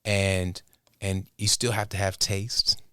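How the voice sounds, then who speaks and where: A man giving thoughtful interview answers as a guest, talking in a halting way as he thinks aloud. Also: low voice, flat delivery, bright, forceful tone, clean tone, no echo. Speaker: interview guest, music producer and inventor.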